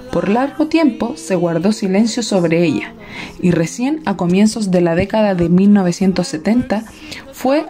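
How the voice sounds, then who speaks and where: A woman reading a scripted documentary narration in a formal, measured manner. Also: medium pitch, dark tone.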